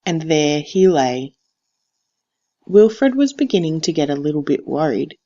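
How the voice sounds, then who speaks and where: A teacher reads a picture book aloud in a deliberate, expressive storytelling style, on a slightly dull recording. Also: medium pitch. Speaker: teacher reading to a class.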